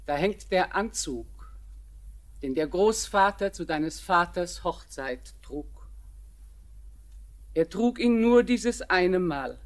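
An older woman playing a solemn grandmother in a German radio drama, speaking in a measured, dignified and deliberate way. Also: on the low side, expressive. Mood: solemn, reminiscing.

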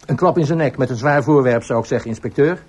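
A man's voice: mid-range voice, fast talker, a little mellow, slightly rough, lightly acted. A man playing a dutiful, matter-of-fact village police sergeant who reports deferentially, in a radio drama.

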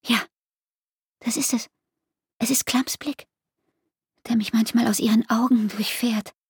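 A yearning, anguished young woman in love in a radio drama. Her voice is very husky and breathy, a little high, and recorded close and dry. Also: fairly even intonation, unhurried, dry recording. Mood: resigned. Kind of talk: literary radio drama acting.

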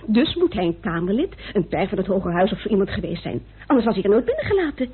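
An actress playing a sharp, insistent woman reasoning out a murder case in a vintage Dutch radio play, with a muffled recording. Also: mid-range voice, very animated, fast, slightly rough. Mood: insistent, brisk.

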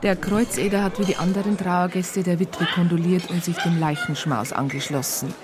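An actress playing a wry storyteller, narrating a radio drama with dry humour. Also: female voice, fairly low pitch, steady tone, moderate pace, close to an everyday voice. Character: wry woman storyteller recounting village events. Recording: music behind the voice.

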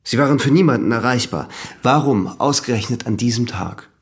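An actor playing a methodical examining magistrate in a radio drama, questioning in a measured, formal, insistent way. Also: male voice, medium pitch, lightly acted. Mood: probing, controlled.

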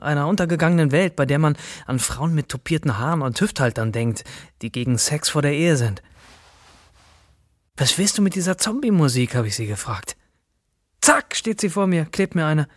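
A man's voice: mid-range voice, dry recording, clean studio sound, lightly acted. An actor in a radio play playing a flippant male co-worker telling stories in a wry, colloquial way.

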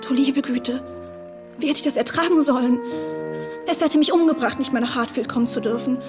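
A woman's voice: high-pitched, brisk, over music, lightly acted. An actress playing a naive, wavering young woman eager to please in a period radio drama, hesitant, breathless and girlish. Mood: flustered, devoted.

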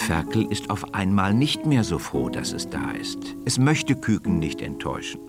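A man narrating a scripted children's story in a measured way, now and then dropping to a hush. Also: low voice, sing-song, music in the background.

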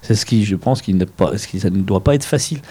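A male radio host in a casual interview, agreeing eagerly and often. His voice sits low, with a dark, mellow tone and a husky edge. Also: fast talker. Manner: constant eager agreeing backchannel.

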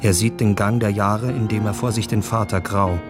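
Actor as low-voiced yearning young man, music behind. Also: fairly even intonation, moderate pace, lightly acted. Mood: uneasy. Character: young man Andreas, feverish, yearning, recalling and dreaming. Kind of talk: German literary radio drama, inner monologue.

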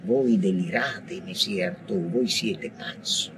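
A noisy radio drama recording carries a man playing a sceptical interviewer. His voice is pitched low and stays quiet and measured, full of doubt. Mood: disapproving. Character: subdued, sceptical interviewer doubting a madman's sanity. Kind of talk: theatrical radio dialogue.

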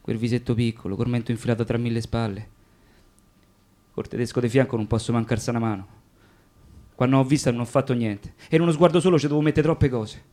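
An actor playing a naive, plain-spoken Roman electrician of thirty held prisoner, in a radio play with a Roman accent. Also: male voice, mid-range voice, fairly even intonation, quick pace, mellow, natural voice. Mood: anguished, tender. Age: 30s. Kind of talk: radio theatre monologue.

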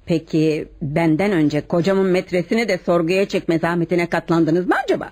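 An actress plays a wife under police questioning in a radio play. Her voice is lowish, husky and soft-toned. She starts hesitantly, then parries with cool, defensive politeness. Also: normal speed, lightly acted. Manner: hesitant openings, coolly polite, parrying questions. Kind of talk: radio theatre acting.

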